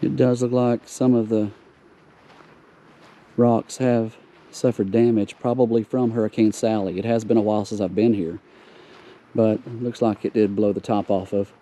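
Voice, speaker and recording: A man, giving a relaxed, easygoing tour of roadside sights outdoors, recorded on a camera mic. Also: on the low side, a little slow, long pauses. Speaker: travel vlogger. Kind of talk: casual tour narration.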